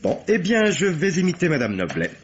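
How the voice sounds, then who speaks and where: An actor playing a polite, formal police inspector in a radio mystery. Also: male voice, on the high side, huge pitch swings, fairly quick. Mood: courteous. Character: courteous, unobtrusive police inspector. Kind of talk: old French radio mystery acting. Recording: muffled, noisy old radio recording.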